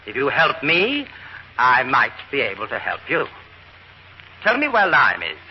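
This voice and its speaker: An actor playing a stern military major pressing a suspect for answers in an old radio drama. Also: male voice, mid-range voice, huge pitch swings, a little slow. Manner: firm and pressing. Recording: muffled old radio broadcast with faint noise.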